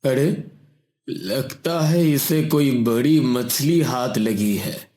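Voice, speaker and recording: A man's voice: medium pitch, mellow. A man voicing a greedy, bullying wrestler with a domineering manner in a cartoon moral story. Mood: pleased. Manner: slow, taunting, domineering. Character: greedy bullying wrestler, mean to a poor fisherman. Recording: roomy echo on the voice.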